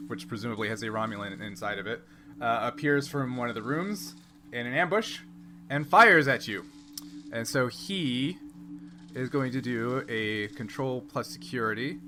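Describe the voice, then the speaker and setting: A man's voice: medium pitch, noisy background. A man running a tabletop role-playing game, narrating and explaining rules off the cuff. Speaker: game master.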